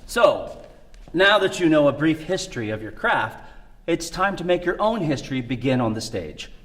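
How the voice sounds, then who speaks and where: A man's voice: a little high, big pitch swings, normal speed, a bit bright. A man with a Midwestern American accent co-hosting a theater tips show, delivering scripted lines with theatrical emphasis. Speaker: co-host.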